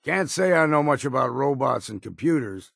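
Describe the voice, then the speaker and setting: An actor playing a gruff old hard-drinking hunter and guide in a video game, with a raspy voice and folksy, laconic talk. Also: male voice, mid-range voice, animated, normal speed, fairly bright tone, no echo, clean studio sound, full character voice. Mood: wry, grim. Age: older. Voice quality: gravelly, raspy. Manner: folksy, dropped endings, laconic. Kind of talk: video game character acting.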